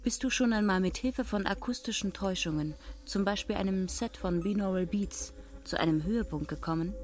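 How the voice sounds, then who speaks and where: An actress playing the impersonal, formal questioner of a secretive movement in a radio play, with a detached, scripted manner. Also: female voice, mid-range voice, brisk, darkish tone, lightly acted.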